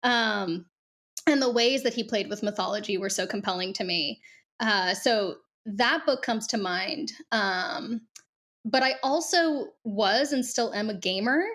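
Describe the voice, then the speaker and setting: A woman's voice: medium pitch, measured pace, a bit bright. A young woman from Kuwait, a guest author, chatting casually in an interview with lots of fillers. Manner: chatty, frequent fillers, laughs easily. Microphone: video call.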